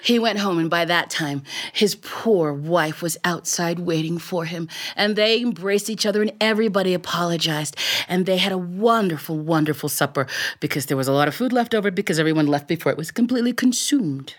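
A woman storyteller with a slightly low voice performs a tale live. She tells it theatrically, slipping into the characters' lines as she goes. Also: brisk. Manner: theatrical, acts out dialogue. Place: recording studio, live performance.

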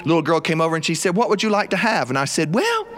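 A man's voice: a little high, big pitch swings, fast, a bit bright, soft background music. A man from South Carolina with a Southern US accent, telling humorous personal stories live in a folksy, theatrical way. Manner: folksy, theatrical, acts out dialogue. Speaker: storyteller. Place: recording studio, live performance.

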